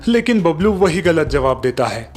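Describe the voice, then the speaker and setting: A man's voice: on the high side, very animated, normal speed, a little mellow. A man narrating a cartoon story in a scripted storytelling style.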